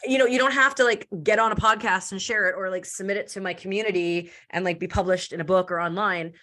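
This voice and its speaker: A woman from Canada, a podcast guest, talking in a loud, deep voice with lots of filler "likes" and quick restarts. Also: fairly even intonation. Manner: loud and deep voice by her own account. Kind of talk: casual interview chat.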